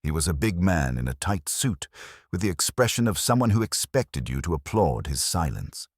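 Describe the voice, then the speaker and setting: A man narrating a scripted first-person detective story in a wry, measured storytelling manner. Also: sits low, sing-song, husky, no room sound, clean studio sound.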